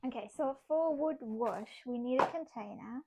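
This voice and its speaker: Brief: young woman, German accent, slightly high voice, loose chatty livestream talk, reads chat while she works, giggles a lot. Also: normal speed, noisy background. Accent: German, with some British English. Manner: chatty, laughs often, talks to herself while working. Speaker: streamer. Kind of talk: casual craft livestream chat, reading chat aloud.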